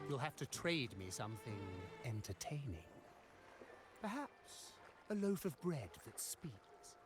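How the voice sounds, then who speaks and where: An actor playing a flamboyant, greedy demon tempter in a video game, with a sly, grandiose, theatrical delivery. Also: male voice, medium pitch. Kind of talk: video game story dialogue.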